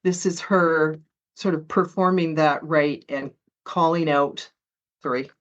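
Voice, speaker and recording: A woman's voice: sits low, fairly even intonation, slow, muffled recording. A woman author being interviewed, talking in a chatty way with frequent laughs and hesitant fillers. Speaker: author guest. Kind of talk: conversational interview.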